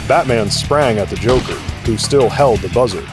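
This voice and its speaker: A man's voice: medium pitch, expressive, moderate pace, loud music behind the voice. A man narrating an audio drama in a scripted storytelling style.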